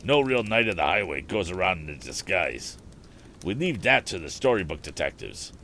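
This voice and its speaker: A man's voice: deep voice, very animated, moderate pace, creaky, pushed and bright, a little hiss or hum, heavily acted. A man voicing a proud, slangy old-time hobo in a storybook, folksy and boastful. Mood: proud, dismissive. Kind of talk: acted audiobook dialogue.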